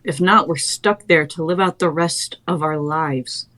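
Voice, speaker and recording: A woman's voice: deep voice, a little slow, fairly bright tone. A woman reading the part of a defiant young female artifact thief, blunt and scornful. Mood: grim.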